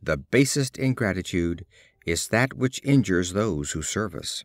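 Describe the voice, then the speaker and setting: A man narrating short fables as a scripted storybook reading, in a deliberate, expressive storytelling manner. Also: low voice, no echo, studio quality. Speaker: audiobook narrator.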